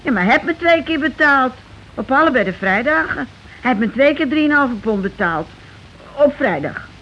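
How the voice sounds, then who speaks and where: Radio drama plain housewife: high voice, practical, reluctant doubter with colloquial delivery, muffled old recording. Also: female voice, lively intonation, moderate pace, fairly bright tone, slight background noise. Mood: doubting. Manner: questioning, colloquial. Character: plain working-class housewife, hesitant, practical, nagging doubter. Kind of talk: Dutch radio play acting.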